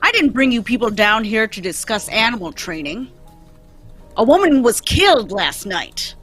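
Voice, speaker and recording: A woman's voice: fairly high pitch, very animated, moderate pace, fairly bright tone, soft background music. A woman reading a blunt male police detective in a live old-time radio table read, firm and questioning. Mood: stern.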